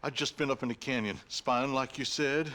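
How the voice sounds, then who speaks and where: An actor playing an eager, simple-minded frontier deputy in a Western video game, with an American Southern accent and a folksy, deferential manner. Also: male voice, medium pitch, normal speed, heavy background noise, natural voice. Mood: urgent.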